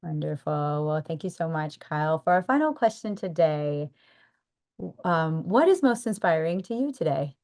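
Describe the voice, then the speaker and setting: A woman's voice: sits low, lively intonation, moderate pace, a little mellow. A woman with an American accent hosting a podcast interview, affirming and enthusiastic as she asks questions. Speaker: podcast host.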